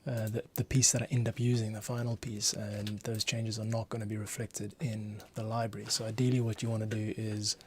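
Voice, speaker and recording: A man's voice: fairly low pitch, little pitch movement, a little fast, hardly any pauses, a little mellow. A man giving casual work-along commentary as a composer, muttering playful asides to himself. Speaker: music composer giving a screen tutorial. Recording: noticeable background noise, keyboard typing.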